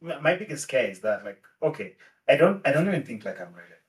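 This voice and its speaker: A man's voice: medium pitch, very animated, quick pace. A man hosting a podcast who asks loose, hesitant questions full of fillers. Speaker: podcast host. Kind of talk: casual interview. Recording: distant from the mic.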